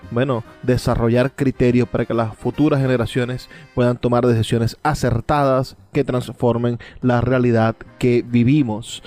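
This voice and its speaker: Male host, formal and emphatic presenter delivery, medium pitch, music underneath. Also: a little mellow. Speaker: programme host. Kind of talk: spoken introduction to a literary programme.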